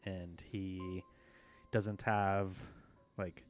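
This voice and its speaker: A man playing a tabletop game, explaining his character casually with halting filler words. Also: low voice, flat delivery. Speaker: player. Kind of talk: casual chat.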